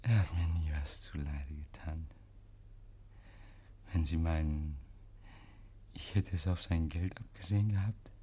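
An actor playing a deranged, guilt-ridden murderer in 1950s German radio drama, halting and repeating himself, given to breathless whispers and shrieks. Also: male voice, rumbling low, fairly even intonation, mellow. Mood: haunted, insistent. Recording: noisy 1950s radio recording.

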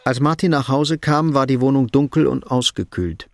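An actor reading scripted literary narration as the sober, detached storyteller of a radio play. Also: male voice, medium pitch, normal speed, gravelly, faint music behind, lightly acted. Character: sober, detached storyteller of a Cold War thriller.

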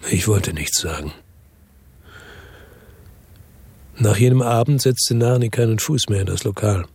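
A man's voice: lively intonation, brisk, darkish tone, heavily acted. An actor playing a weary hard-boiled investigator who narrates his own story in a radio drama, terse and matter-of-fact. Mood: bitter, resigned. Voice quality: low, gravelly, husky. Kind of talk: scripted first-person radio drama narration. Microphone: close dry studio microphone.

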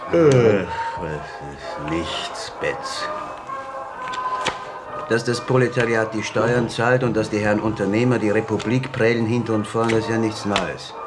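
An actor playing a disgruntled, sardonic republican worker who grumbles about politics in a radio play. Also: male voice, on the low side, unhurried, pauses often, over music, close to an everyday voice. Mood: bitter, sardonic. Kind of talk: German-language radio drama acting.

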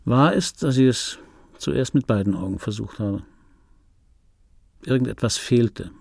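An actor playing a middle-aged film dubbing actor in a radio play, reminiscing in a wry, pedantic, digressive way with a gravelly, husky voice. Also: male voice, medium pitch, unhurried, darkish tone, no echo, studio quality. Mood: aggrieved, wry. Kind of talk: radio play monologue, confiding first-person reminiscence. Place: dry radio drama studio.

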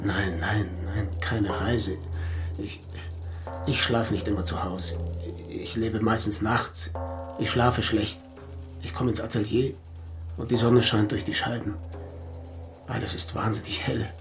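Shaken middle-aged artist, halting. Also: male voice, lowish voice, fairly even intonation, music in the background. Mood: distraught, halting. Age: 40s. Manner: halting, stunned. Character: shaken male artist in his forties, widowed murder suspect. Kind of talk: German radio crime drama acting.

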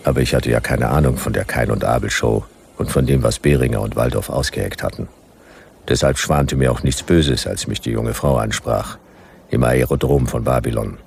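Very deep, laconic detective. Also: male voice, normal speed, creaky, slight background noise. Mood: dry, brooding. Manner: dry, terse, deadpan. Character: laconic, world-weary male private detective of the future. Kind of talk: first-person radio drama narration.

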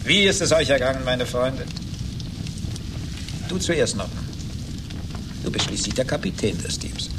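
A man's voice: mid-range voice, lightly acted. An actor playing a bitter, boastful rich man who mocks old friends with sarcastic politeness in a radio play. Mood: mock-polite, boastful. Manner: sarcastic, mock-polite, gloating. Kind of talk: 1980s German radio play acting. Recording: noisy radio play recording, background ambience.

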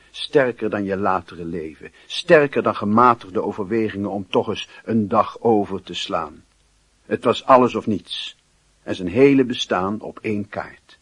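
A man's voice: fairly low pitch, breathy edge, no room sound. A man narrating a radio play in a scripted, literary style, as a sombre storyteller. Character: sombre literary male narrator of a boy's youth.

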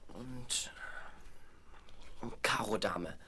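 A man's voice: on the low side, drawn-out words, pushed and bright, breathy edge, full character voice. A male actor playing an earnest, sceptical but fond teenage boy in a radio play, with a questioning delivery. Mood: puzzled, sceptical. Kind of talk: German radio play for young listeners. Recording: faintly noisy 1970s vinyl radio play.

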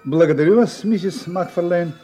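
An actor playing a shrewd, ironically polite detective in an audio drama, questioning briskly with wry murmurs. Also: male voice, high-pitched, mellow, soft background music, close to an everyday voice. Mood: polite, probing. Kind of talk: Russian audio drama acting.

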